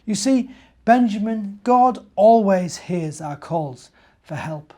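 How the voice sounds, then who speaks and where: A British man reads as a gentle storybook mother bear. Her voice is mellow and a little raised, earnest and reassuring as she teaches her cub. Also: sits high, animated, slow pace, pitched above the performer's own voice, exaggerated voice.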